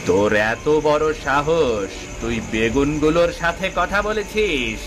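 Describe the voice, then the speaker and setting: Man voicing a slow, menacing cartoon villain sorcerer. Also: on the high side, animated, background noise. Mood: indignant, haughty. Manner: taunting, drawling threats. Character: menacing, gloating villain sorcerer who curses people. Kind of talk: cartoon voice acting.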